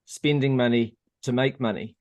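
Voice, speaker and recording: A man's voice: mid-range voice, steady tone, normal speed, a little mellow. A man hosting an interview who explains his points methodically, listing them and checking in with the listener. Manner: methodical, lists points and checks in with "okay?" and "right?". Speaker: interview host.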